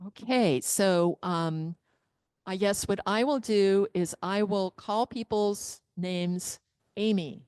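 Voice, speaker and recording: A woman's voice: medium pitch, takes it slowly, darkish tone, clean tone, dry recording, studio quality. A woman facilitating a training session, laying out the agenda step by step in a meeting room, speaking into a handheld microphone. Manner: organized, walks through the agenda step by step. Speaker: workshop facilitator. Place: meeting room with people present and online.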